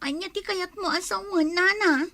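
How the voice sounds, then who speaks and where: A woman's voice: very high-pitched, normal speed, bright, forceful tone, dry recording, heavily acted. A woman with an Ilocano accent playing a worried, nagging village wife in a radio comedy drama. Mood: anxious, questioning.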